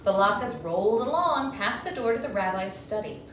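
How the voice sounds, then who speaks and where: A woman's voice: medium pitch, animated, moderate pace. A woman reading a picture book aloud to children, expressively and with dramatic emphasis. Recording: echoey room, distant mic, faint noise.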